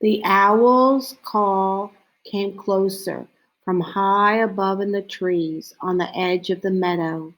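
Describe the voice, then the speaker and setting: Woman storybook reader, very slow and deliberate, medium pitch, pausing often between phrases. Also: fairly even intonation, rough recording. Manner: unhurried, deliberate phrasing with frequent pauses. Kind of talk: scripted children's story read-aloud.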